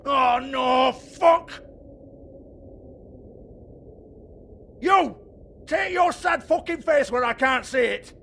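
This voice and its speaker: An actor playing a sleazy, foul-mouthed strip club owner with a British accent in a video game, mocking and volatile. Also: male voice, very high-pitched, animated, slow pace, gravelly, bright, forceful tone, shouting, slight background noise, heavily acted. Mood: furious, taunting. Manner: sneering, taunting, swearing.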